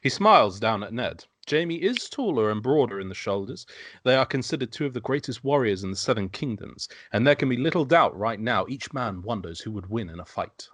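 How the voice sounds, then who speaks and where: A man's voice: medium pitch, animated, a little fast, fairly bright tone, no room sound. A man narrating stage directions from a script at an amateur table read.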